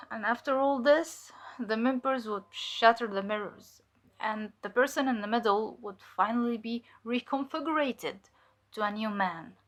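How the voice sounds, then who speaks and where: Casting: actress as haunted female undercover agent, higher-pitched, halting and emotional, fearful and guilt-ridden, audio drama testimony. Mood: disturbed, horrified.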